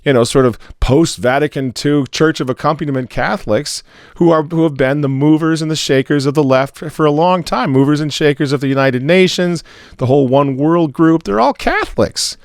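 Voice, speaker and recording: A man, hoarse and raspy from a cold, giving sarcastic commentary on religion and politics as an editor. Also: a little high, normal speed, dry recording, clean studio sound. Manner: sarcastic, mocking asides. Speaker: newspaper editor hosting commentary.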